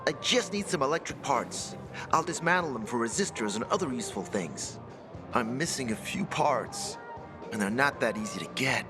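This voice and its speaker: A man's voice: mid-range voice, lively intonation, moderate pace, music in the background, natural voice. A man playing a young apprentice inventor in a video game, chatty, earnest and unsure of himself. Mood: earnest, hopeful. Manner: chatty, earnest, self-doubting. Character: young, eager, self-doubting male apprentice inventor. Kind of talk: video game cutscene acting.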